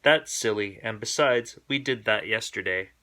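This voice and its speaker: A man's voice: on the low side, moderate pace, sharp tone. A man voicing a stubborn, boastful young boy bear in a storybook, with contrary, emphatic delivery. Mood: dismissive.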